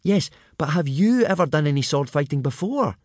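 A man's voice: on the high side, lively intonation, normal speed, a little mellow, no echo, studio quality, pitched above the performer's own voice. A man voicing an anxious, cautious boy warning his friend. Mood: fretful. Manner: fretful, pleading.

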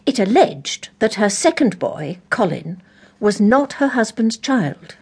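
An actress playing a forthright, eccentric English vicar's wife in an audio drama, emphatic, blunt and matter-of-fact. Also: female voice, medium pitch, big pitch swings, takes it slowly, breathy edge, exaggerated voice. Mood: brisk, disapproving.

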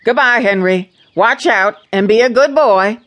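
A woman's voice: on the high side, a little slow, fairly bright tone. A plain-spoken American farm mother in a radio drama. She is middle-aged and devout, with a rural accent, and counsels her child in an earnest, anxious, homespun way. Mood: anxious, tender.

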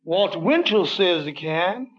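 A deadpan tavern worker with a fairly high voice. He delivers his lines slowly and flatly in 1940s radio comedy style. The recording sounds dull, like an old broadcast.

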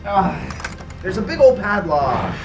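Eager, bold young shutterbug. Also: female voice, fairly low pitch, sing-song, takes it slowly, over music, exaggerated voice, rough recording. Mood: curious. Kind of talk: full-cast audio drama acting.

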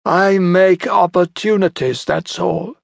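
A man voicing a cold, calculating warrior who speaks slowly and with menace. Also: sits high, vocal fry, no room sound, studio quality, voice pushed up, heavily acted.

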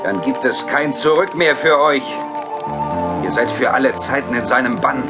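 A man's voice: medium pitch, moderate pace, loud music behind the voice, natural voice. A man playing a fanatical, menacing leader of a Satanist biker gang in a horror audio drama, solemn and commanding.

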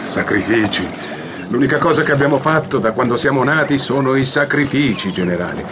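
An actor playing a poor, skeptical commoner worn out by sacrifice in an audio drama, aggrieved and questioning. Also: male voice, medium pitch, animated, brisk, natural voice. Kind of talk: audiobook radio drama acting. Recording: noisy outdoor ambience behind.

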